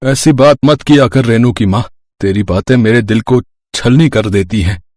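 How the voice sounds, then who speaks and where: A man's voice: mid-range voice, moderate pace, darkish tone, dry recording, pitched below the performer's own voice, lightly acted. A man voicing a poor but proud village farmer, a worried husband and father who speaks earnestly in a cartoon story. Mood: distressed, firm. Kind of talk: animated moral story dialogue.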